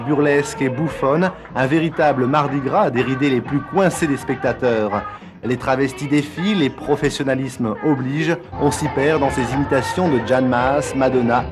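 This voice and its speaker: A man reading scripted documentary narration in a smooth, measured way. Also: medium pitch. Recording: old TV report over loud music.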